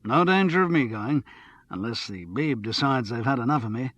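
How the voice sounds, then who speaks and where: Man playing a smooth, affable radio presenter. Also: medium pitch, animated, normal speed, a bit bright, no room sound. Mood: modest, affable. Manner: clear, assured, professional.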